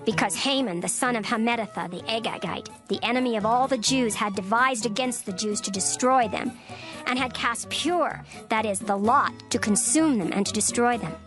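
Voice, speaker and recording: A woman's voice: fairly high pitch, normal speed. Woman narrating scripture over music. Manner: steady, formal reading with careful pacing.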